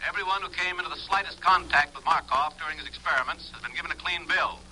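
An actor playing a serious, businesslike intelligence chief heard through a telephone filter in an old radio drama. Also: male voice, on the high side, fairly even intonation, quick pace, pushed and bright, rough recording. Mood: grave. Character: grave male intelligence chief reporting by telephone. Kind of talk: 1940s-50s radio drama acting. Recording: thin phone sound, old radio hum.

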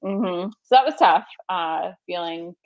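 A woman from Florida, a guest in an interview, telling her story in a measured way with many pauses. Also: on the low side, expressive, muffled recording. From Florida, USA. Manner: measured, with many fillers and restarts. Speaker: podcast guest. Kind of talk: casual interview chat.

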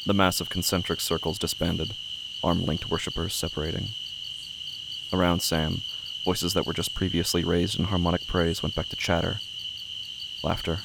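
A man reading scripted horror drama narration in a measured, literary, solemn way. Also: low-pitched, vocal fry, very noisy. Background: night crickets and insects.

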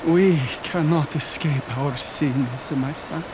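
A tortured old priest in a radio drama. He speaks very slowly and gravely, intoning with doom-laden fervor and guilt. Rushing rain and water sound clearly behind his voice. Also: a little high, noisy background. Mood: solemn, weary. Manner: grave, solemn, intoning prayers.

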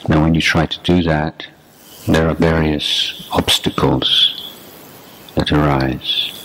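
Very deep, slow-speaking male meditation teacher. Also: flat delivery, sharp tone, a little hiss or hum, low-quality recording. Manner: measured, deliberate, with long pauses between short phrases. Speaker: meditation teacher, Buddhist monk. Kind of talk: guided meditation instruction, unscripted dharma talk.

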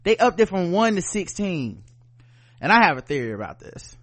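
An American man hosting a podcast, chatting casually and comedically with animated, profane riffing. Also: sits high, normal speed, no room sound. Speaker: podcast host.

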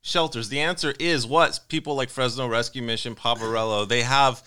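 A man's voice: mid-range voice, fairly even intonation, fairly quick, pushed and bright, clean tone. A man talking casually as part of a podcast panel. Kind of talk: casual chat.

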